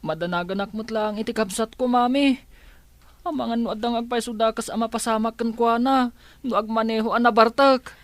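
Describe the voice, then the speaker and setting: A man's voice: very high-pitched, steady tone, brisk, fairly bright tone, dry recording. An actor playing a dutiful younger son in a radio drama, earnest and worried about his sister. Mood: apologetic. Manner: earnest, concerned. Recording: noisy background.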